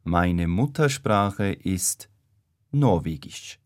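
A man giving measured, deliberate answers in a scripted language-course dialogue. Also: deep voice, expressive, no room sound, clean studio sound.

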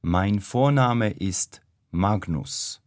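Deep, very slow man answering questions. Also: animated, dry recording, clean studio sound. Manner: measured, deliberate answers. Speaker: learner in a scripted dialogue. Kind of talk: slow scripted language-course dialogue.

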